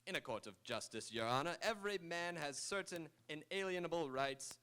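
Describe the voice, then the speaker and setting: A man's voice: on the high side, lively intonation, moderate pace, pushed and bright, smooth. A man playing a brash, shameless shyster lawyer in a live old-time radio comedy, wisecracking and mock-pompous. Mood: mock-grand, scheming.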